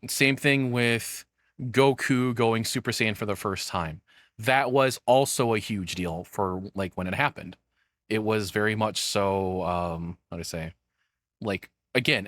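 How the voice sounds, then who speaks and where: A man's voice: on the low side, measured pace, fairly bright tone, no room sound, studio quality. A man doing casual live reaction commentary as a streamer, rambling and swearing in an informal way.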